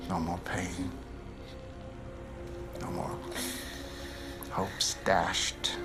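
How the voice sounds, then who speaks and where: An actor playing a sinister, smug man who experiments on androids in a video game, with a slow, mock-polite, condescending delivery. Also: low voice. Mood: mocking, cold. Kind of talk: dramatic video game acting. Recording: game music behind the voice.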